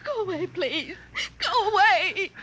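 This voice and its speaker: Shrill, hysterical, terrified starlet. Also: female voice, expressive, slow pace, heavily acted. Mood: tearful horror. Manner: shrill, panicked. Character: hysterical, terrified young female movie extra. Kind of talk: 1950s radio drama acting. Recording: very noisy vintage radio recording.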